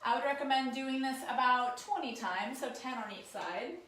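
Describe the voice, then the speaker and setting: A woman with a cold-roughened voice, casually talking viewers through stretches at a work desk while she demonstrates them. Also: high voice, moderate pace, a bit bright, heavy reverb. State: hoarse from a cold. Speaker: posture and ergonomics instructor. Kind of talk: guided stretching lesson, talking to camera. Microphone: camera mic, sometimes turned away.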